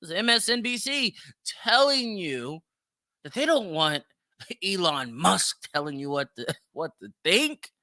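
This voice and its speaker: A man's voice: high voice, expressive, measured pace, pushed and bright, no room sound, clean studio sound. A man hosting a podcast, giving opinionated reaction commentary in a brash, profane, exclamatory way. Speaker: podcast host.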